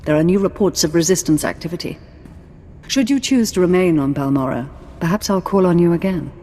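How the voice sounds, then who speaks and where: An actress playing a haughty, seductive female Sith lord in a video game, deliberate and sultry. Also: fairly low pitch, animated, warm tone, a little hiss or hum, lightly acted. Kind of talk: dramatic video game dialogue. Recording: long reverb, music behind.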